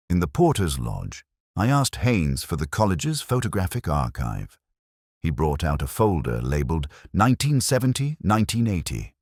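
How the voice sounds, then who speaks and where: Very deep-voiced man narrating detective fiction. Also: no room sound, studio quality. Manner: measured, brooding, literary phrasing with deliberate pauses. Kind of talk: first-person detective story narration, scripted.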